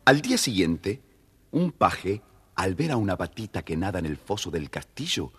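A man's voice: low voice, animated, moderate pace, fairly bright tone. A man narrating a children's story dramatically, addressing his young listeners directly.

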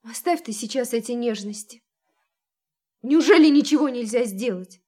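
A woman's voice: on the high side, lively intonation, normal speed, warm tone. An actress in a Russian audio drama playing an earnest, defiant young woman with an intense manner. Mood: anxious. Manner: intense, indignant.

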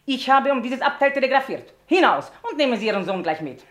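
A woman's voice: sits high, lively intonation, fast talker, fairly bright tone. A grand, haughty female opera star in a radio play. She is theatrical and indignant, issuing commands in a roomy, echoing acoustic. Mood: haughty outrage. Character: imperious, outraged opera diva, grand and haughty. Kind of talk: German radio play acting.

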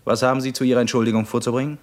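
An actor playing a stern, menacing revolutionary military commander in a 1950s German radio play, speaking in a measured, sardonic, interrogating manner. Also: male voice, mid-range voice, level tone, a little mellow, natural voice. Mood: sardonic, accusing.

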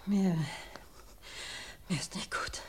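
A woman playing a distressed forty-year-old secretary confessing as a witness in a radio crime play, halting and faltering. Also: whispered, lightly acted. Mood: faint, shaken. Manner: halting, faltering, repeating herself. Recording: long reverberant courtroom hall.

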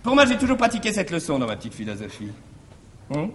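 An echoing, faintly hissy 1960s theatre recording carries a cunning male servant from French farce. His voice is high and ringing, declaimed with cheeky, exaggerated flourish. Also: wildly swooping pitch, fast, a bit bright. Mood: mock philosophical. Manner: exaggerated, declamatory, swinging from wounded to mocking. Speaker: stage actor. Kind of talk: classical French farce stage acting. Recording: echoey, faintly noisy 1960s stage recording.

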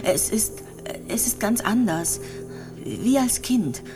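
An actress playing a terminally ill patient in a radio play, musing in a plain, halting, intimate way, resigned yet clinging to hope. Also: female voice, on the high side, expressive, music in the background. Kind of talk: radio drama monologue, intimate and naturalistic.

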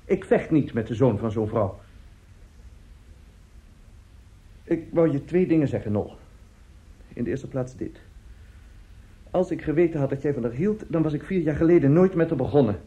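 An actor plays a middle-aged man in a radio drama, a morally loose family friend who justifies himself. His voice is dark and soft-edged, emphatic yet halting. The recording is dull and muffled. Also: fairly high pitch, expressive, lightly acted. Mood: firm, placating.

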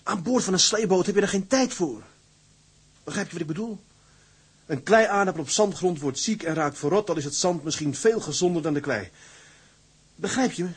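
An actor playing an earnest, restless young tugboat mate in a radio drama, with a husky voice. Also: male voice, sits high, normal speed. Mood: earnest, torn.

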